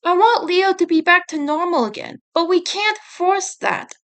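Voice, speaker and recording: A woman reading the part of a gentle, playful younger teenage turtle brother in a story, with a reassuring manner. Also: very high-pitched, sing-song, moderate pace, voice pushed up, heavily acted. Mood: hopeful.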